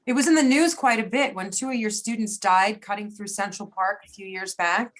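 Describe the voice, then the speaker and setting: A woman's voice: mid-range voice, fairly quick, close to an everyday voice. A woman playing a polite but probing federal agent in an amateur readers theater reading.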